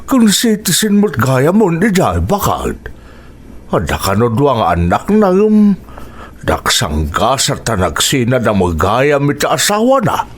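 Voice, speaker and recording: An actor with an Ilocano accent playing an older, talkative village husband in a radio drama. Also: male voice, medium pitch, huge pitch swings, unhurried, slightly rough, full character voice. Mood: chatty.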